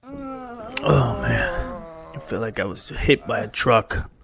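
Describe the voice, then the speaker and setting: A man's voice: mid-range voice, huge pitch swings, slow, vocal fry, heavily acted. An actor playing a groggy, sick male survivor in an audio drama, groaning weakly as he speaks. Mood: groggy, miserable. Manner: groaning, weak, slangy.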